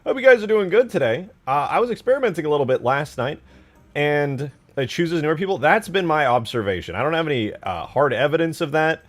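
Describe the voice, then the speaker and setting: A man's voice: on the high side, expressive, fairly quick. A man hosting a livestream, chatting casually and conversationally to his viewers. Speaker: livestream host.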